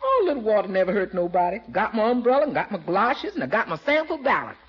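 Spirited folksy American housekeeper, husky, lively intonation, emphatic, 1940s radio comedy. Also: female voice, medium pitch, fast. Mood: determined. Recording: dull lo-fi 1940s radio recording.

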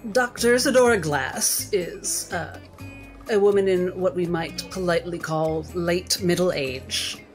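A woman playing a tabletop game, giving a measured, descriptive account of a character. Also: lowish voice, soft background music.

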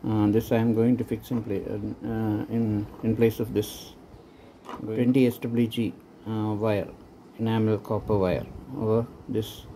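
A man giving an unscripted how-to narration while working at a table indoors, speaking haltingly in trailing phrases. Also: lowish voice, flat delivery, mellow, low-quality recording. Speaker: hobbyist presenter. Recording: noticeable background noise.

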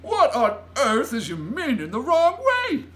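A big friendly giant from a children's storybook, booming with excitement. The pitch leaps high and swoops wildly, and the words come out slow, jolly and muddled, over clear background noise. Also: full character voice. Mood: incredulous. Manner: exclaims in childlike broken grammar and mangled words. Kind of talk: children's storybook read aloud.

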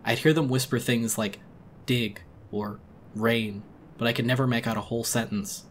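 A man's voice: medium pitch, fairly even intonation, fast, slight background noise. A man giving a dramatic first-person audiobook narration of a horror story in a weary, brooding manner.

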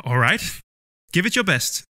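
A man's voice: fairly high pitch, big pitch swings, normal speed, pushed and bright, no echo, clean studio sound. A man hosting a mock talent show in an upbeat, showman-like way. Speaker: host.

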